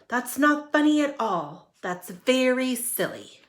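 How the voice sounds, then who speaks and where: Woman voicing slow, indignant little storybook rabbits. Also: high voice, big pitch swings, voice pushed up, full character voice. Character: grumpy, indignant little rabbits scolding a prankster.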